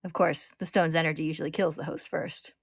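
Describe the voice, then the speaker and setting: A woman takes on a cruel, shapeshifting monster from a dramatic story. The voice sits a little low and close, with no room around it. Every line drips with smug, taunting glee. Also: steady tone, fairly quick, breathy edge, no echo. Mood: gloating. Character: sneering, gloating shapeshifting monster, gleefully cruel.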